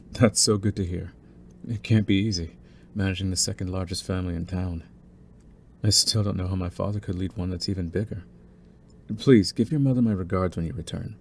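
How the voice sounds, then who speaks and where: A man playing a young mafia heir in an intimate audio roleplay, smooth-spoken and deliberate, with a cunning, condescending streak beneath his courtesy. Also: deep voice, a little mellow, slight background noise. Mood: courteous, probing. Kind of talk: intimate scripted audio roleplay, ASMR acting.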